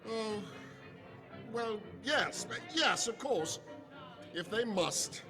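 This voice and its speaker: Obsequious, pompous old-money gentleman male actor, 1920s drama, big hall sound with music behind. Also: high-pitched, animated, extremely slow, full character voice. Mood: flustered, deferential. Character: fussy, self-important wealthy 1920s Boston gentleman.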